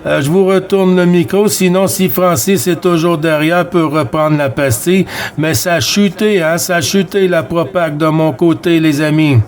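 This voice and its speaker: A man chatting as a CB radio operator, giving friendly greetings and sign-offs in a halting, hesitant way. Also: fairly high pitch, fairly even intonation, a crowd in the background. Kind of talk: radio contact chat, exchanging sign-offs.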